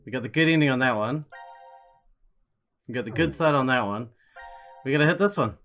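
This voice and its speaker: A man's voice: mid-range voice, fairly quick, a bit bright. Guy gamer muttering through a playthrough, mid voice, sweary, faint game music. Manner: sweary, thinking aloud. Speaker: gaming streamer. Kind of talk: casual gameplay commentary.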